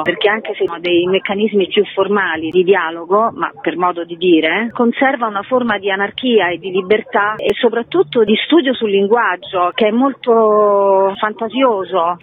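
A woman theatre author and actress giving a conversational interview over a narrow phone line, explaining her work reflectively. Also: medium pitch. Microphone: telephone.

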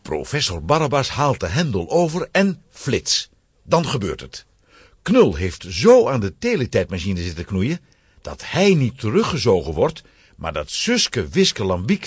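A man's voice: medium pitch, huge pitch swings, a little slow, husky, no echo, studio quality. A man narrating a scripted children's story with dramatic suspense.

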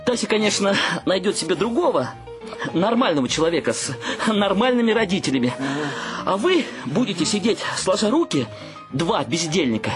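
An actor playing an exasperated, materialistic young adult son in a radio play, ranting with sarcastic scorn. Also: male voice, sits high, very animated, moderate pace, over music. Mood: scornful.

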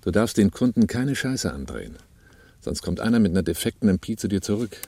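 A man's voice: on the low side, very animated, brisk, mellow, no echo. An actor playing a seasoned, unsentimental male arms dealer in a radio drama, dry and matter-of-fact. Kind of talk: radio drama dialogue and narration.

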